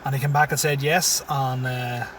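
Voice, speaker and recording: A man's voice: mid-range voice, fairly even intonation, normal speed, far from the mic, noisy background. Laid-back man with a Tyrone accent. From Syria, raised in Tyrone. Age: 30s. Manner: laid-back, chuckles often. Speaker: comedian guest. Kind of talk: casual interview chat. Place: inside a parked car. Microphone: phone.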